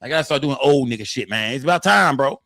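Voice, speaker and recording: A man's voice: mid-range voice, animated, quick pace, vocal fry, bright, forceful tone, no echo, clean studio sound. A man in his forties hosting a live stream in loose, profane casual chat. He reads the chat aloud and repeats his phrases, tagging them with "man" and "fam.". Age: 40s.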